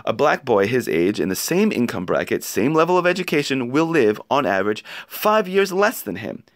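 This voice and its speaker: Male narrator for opinion piece: emphatic, rhetorical, highly varied intonation, dry close sound. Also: big pitch swings, normal speed, dry recording. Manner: emphatic, rhetorical delivery with pointed questions. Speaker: narrator reading an article aloud. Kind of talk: expressive read-aloud of an opinion essay.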